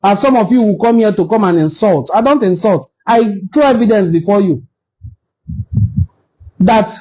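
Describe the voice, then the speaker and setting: A man's voice: high-pitched, warm tone, rough recording. A man from Nigeria with an Igbo-language accent, speaking as the host of an informal, impassioned history talk in an assertive, argumentative way with emphatic repetition.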